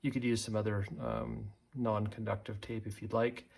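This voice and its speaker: Gravelly-voiced man explaining a hands-on task. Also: lowish voice, little pitch movement, darkish tone. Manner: halting, thinking aloud while working. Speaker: tutorial presenter. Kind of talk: unscripted hands-on tutorial explanation.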